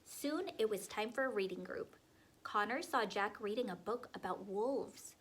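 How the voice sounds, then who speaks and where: A woman reading a picture book aloud to young children, expressive and asking them questions along the way. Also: mid-range voice, moderate pace, noisy background. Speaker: storyteller for children.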